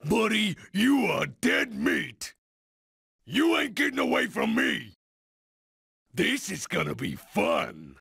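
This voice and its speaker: A brutish video game villain, with a heavily gravelled, husky voice. He bullies and boasts in slow combat taunts, cocky and menacing. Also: high voice, wildly swooping pitch, bright, forceful tone, no echo, clean studio sound, full character voice. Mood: menacing, taunting. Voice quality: gravelly, raspy. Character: brutish, cocky male supervillain bruiser, taunting and bullying.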